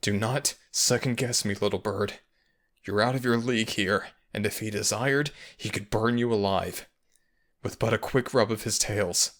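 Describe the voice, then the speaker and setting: A man's voice: on the low side, fairly bright tone, voice pushed up. A man playing a fiercely protective male kitsune guardian in an ASMR audio roleplay, speaking firmly and with commanding urgency. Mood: resolute.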